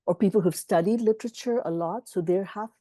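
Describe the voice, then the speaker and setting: A woman's voice: mid-range voice, fairly quick, no room sound, clean studio sound. A middle-aged woman publisher answering interview questions over a video call, thoughtful and earnest. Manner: thoughtful, earnest, warmly reflective.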